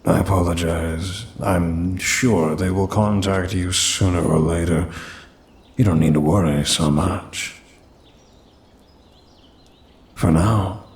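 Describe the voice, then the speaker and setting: A man voicing a centuries-old demon in an ASMR audio roleplay, formal and pompous, grumbling dryly yet caring. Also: low-pitched. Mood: apologetic, reassuring. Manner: formal, pompous, dry complaining.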